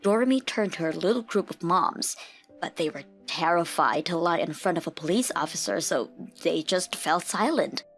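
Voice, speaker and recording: A woman's voice: lowish voice, lively intonation, normal speed, faint music behind. A woman reading scripted story narration for a manga dub.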